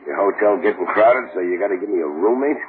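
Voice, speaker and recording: An actor playing a streetwise death-row convict who talks in tough slang, in old radio drama style. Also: male voice, low voice, animated, quick pace. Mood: scheming. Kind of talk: 1940s radio acting. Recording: tinny hissy old radio broadcast.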